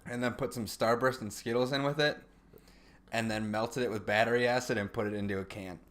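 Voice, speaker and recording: Man, twenties, mid-pitched, informal, wry, casual comedy podcast chat. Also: steady tone, brisk, fairly bright tone. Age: 20s. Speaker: podcast co-host.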